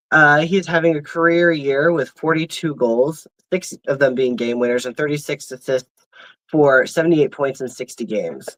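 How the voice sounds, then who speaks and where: A young man co-hosting a casual podcast, reading player stats in a relaxed way that trails off into loose chat. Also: a little high, no echo, poor audio. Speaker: podcast co-host.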